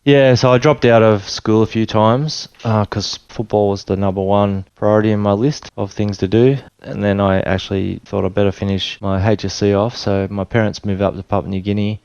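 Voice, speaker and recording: A relaxed Australian man in middle age, his low voice staying level and smooth as he rambles through his story. He circles back and restarts often, and the sound is dry and a little dull. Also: normal speed, few pauses, no echo. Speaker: interview guest. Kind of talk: casual storytelling interview.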